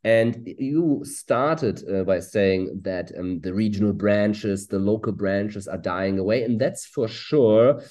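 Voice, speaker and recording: A man in his forties with a German accent answers interview questions over a video call. His voice is low and mellow, and he speaks quickly, with halting fillers between his points. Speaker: guest author. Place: room with purple lighting.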